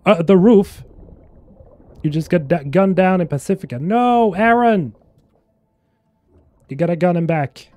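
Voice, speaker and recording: A man's voice: high-pitched, unhurried, pauses often, a little mellow, dry recording. A man in his thirties from Sweden, chatting casually and joking with his viewers as he plays, on a headset mic in a small room. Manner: joking, rambling, chats with viewers. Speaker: streamer. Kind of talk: casual gameplay chat.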